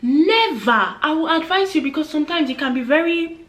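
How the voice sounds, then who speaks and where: Chatty girl in her twenties giving advice, kinda high voice, lots of room echo. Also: animated, normal speed. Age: 20s. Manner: earnest, chatty, acts out quoted voices. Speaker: vlogger. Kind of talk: casual advice talk to camera. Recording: echoey room.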